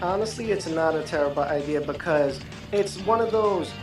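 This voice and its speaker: A woman's voice: deep voice, normal speed. A woman chatting casually and emphatically as a panelist on a gaming podcast, recorded off mic in an echoey sound. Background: music.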